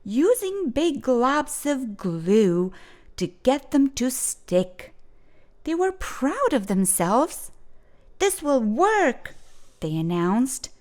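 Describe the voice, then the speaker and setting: A woman reading a rhyming picture book aloud for children in a gentle, sing-song manner. She takes her time over every line, letting the rhymes land. Her voice sits fairly high and swoops widely up and down. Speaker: storytime reader.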